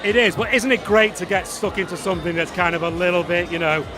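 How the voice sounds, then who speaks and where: A cheeky man with a high, bright voice hosts an outdoor event and chats with guests in a playful presenter style. Music pumps and a crowd chatters loudly behind him. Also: fairly even intonation, fast. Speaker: event host interviewer. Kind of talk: upbeat event interviewing with presenter links. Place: outdoor event at a car showroom.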